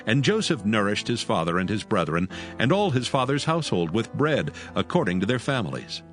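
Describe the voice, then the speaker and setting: A man's voice: lowish voice, big pitch swings, a little fast. A man narrating a dramatized scripture story from a script. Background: faint music.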